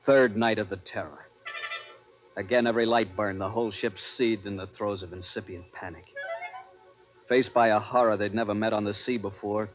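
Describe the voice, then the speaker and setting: Deep-voiced male lead narrator, tense 1950s radio suspense, dull recording with music. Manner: tense, grave, dramatic. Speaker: first-person narrator and lead.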